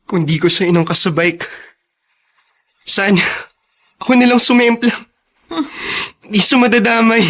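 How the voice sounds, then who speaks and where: A man's voice: high voice, measured pace, pauses often, a bit bright. A man playing a grieving, guilt-ridden young son in a radio drama, plaintive and earnest. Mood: guilty, grieving.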